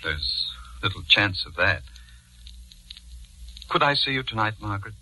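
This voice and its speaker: An actor playing a formal, measured middle-aged judge, lovestruck and coldly scheming, in 1940s radio drama style. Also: male voice, on the low side, big pitch swings, sharp tone, a little hiss or hum, exaggerated voice, poor audio. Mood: eager, courting. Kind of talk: 1940s radio acting, first-person narration and dialogue. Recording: 1940s radio broadcast with music.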